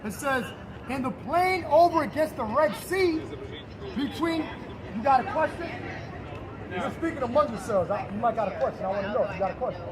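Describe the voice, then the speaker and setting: A man's voice: extremely high pitch, lively intonation, fairly quick, a crowd in the background. A man preaching on the street, reading scripture aloud and firing rhetorical questions at passersby. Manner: emphatic, fires rhetorical questions and challenges listeners. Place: outdoors on a city street near traffic. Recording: street noise outdoors.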